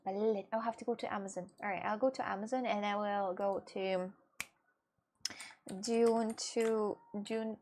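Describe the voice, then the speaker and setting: A woman's voice: medium pitch, fairly even intonation, a little mellow, a little hiss or hum. Giggly woman. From Russia. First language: Russian. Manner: hesitant, halting English with many fillers and giggles. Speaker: livestreamer. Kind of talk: casual live-stream chat with viewers.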